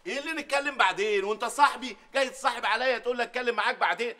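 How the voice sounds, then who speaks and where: A man's voice: very high-pitched, rapid-fire, sharp tone, slight background noise. A man playing a bossy, scolding shop owner with an Egyptian accent. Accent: Egyptian Arabic. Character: hot-tempered male shop boss, bossy.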